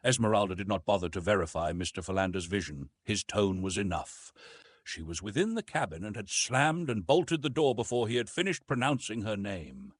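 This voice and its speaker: A man narrating a classic adventure novel in an expressive, theatrical storytelling manner. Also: lowish voice, brisk, slightly rough, no room sound. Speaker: audiobook narrator.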